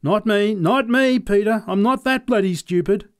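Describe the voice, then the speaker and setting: Man putting on a high, nervous farmer. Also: normal speed, lightly acted. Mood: pleading. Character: wary adult male dairy farmer, cautious and pleading.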